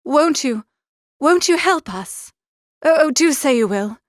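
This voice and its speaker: A woman's voice: high voice, lively intonation, a little slow, no echo, clean studio sound. A woman voicing a demure, anxious young lady in an audiobook, pleading politely. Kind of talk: audiobook character reading.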